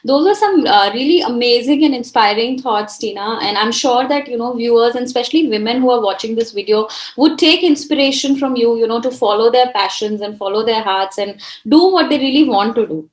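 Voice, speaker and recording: Echoey-roomed woman host, warm encouragement. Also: fairly high pitch, a little fast, hardly any pauses. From India. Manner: encouraging, with constant short backchannels. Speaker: interview host. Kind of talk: interview questions.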